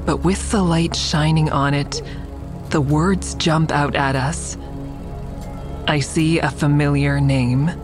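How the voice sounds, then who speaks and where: Low measured lady tender reflective narrator in an audio drama, bit of music under it. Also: steady tone. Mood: uneasy, suspenseful. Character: reflective woman admiring a friend's mural, tender. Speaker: narrator and lead character.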